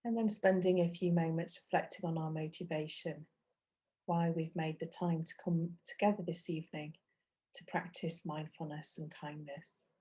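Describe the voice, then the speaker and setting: A woman leads a guided meditation in a soft-edged, very mellow voice that sits fairly low. She speaks gently and without hurry, as a meditation teacher, leaving long pauses between short phrases. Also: steady tone, husky.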